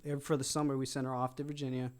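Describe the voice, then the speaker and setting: A man's voice: medium pitch, flat delivery, rapid-fire. A man in his thirties talking casually as a guest, rambling with lots of fillers and frequent laughs. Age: 30s. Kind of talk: casual chat.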